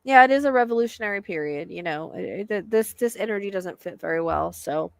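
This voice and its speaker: A woman's voice: medium pitch, animated, fairly quick, gravelly, dry recording. Soothing, chatty woman host. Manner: chatty, hesitant, with frequent fillers. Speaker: psychic medium host. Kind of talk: casual livestream chat.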